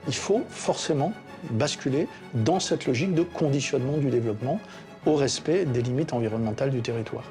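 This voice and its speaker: A man speaking in a measured, reflective way in a documentary interview. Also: medium pitch, darkish tone, faint music behind. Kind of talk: interview commentary in a documentary film.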